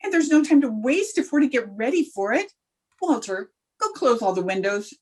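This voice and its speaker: A woman playing a quick-witted, wisecracking schoolteacher in an old-time radio comedy style. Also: a little high, very animated, a little mellow, slightly rough. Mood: wry, brisk. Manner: dry, wisecracking.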